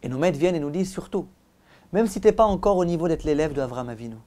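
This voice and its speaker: Mellow-voiced man teaching a religious lesson. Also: fairly high pitch, expressive, very fast. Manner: emphatic, didactic, repeats phrases for effect; rhetorical questions and direct address to listeners. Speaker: rabbi giving a Torah lesson. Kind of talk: religious lecture, teaching aloud to listeners.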